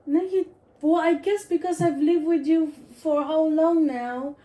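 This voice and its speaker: A woman's voice: high voice, fairly even intonation, unhurried, mellow. A woman reading game questions aloud and teasing her husband in a casual chat. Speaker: wife asking the game questions.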